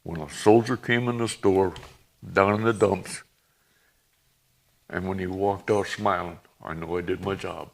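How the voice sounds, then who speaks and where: A man in his eighties, a veteran guest reminiscing in plain-spoken, halting, folksy talk. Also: deep voice, fairly even intonation, creaky. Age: 80s. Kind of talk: reminiscing in a conversation.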